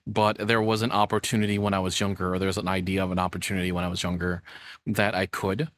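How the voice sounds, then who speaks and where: Low-voiced young man, casual reaction streamer. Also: little pitch movement, fast talker, fairly bright tone, no room sound. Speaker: VTuber streamer reacting to a video.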